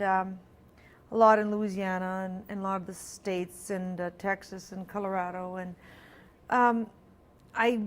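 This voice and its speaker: A middle-aged woman, French her first language, talks as a guest in a casual interview. Her speech wanders and restarts, full of ums and easy laughter, at an ordinary middle pitch. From Alberta, Canada. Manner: chatty, many ums and restarts, laughs easily. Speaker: singer-songwriter guest.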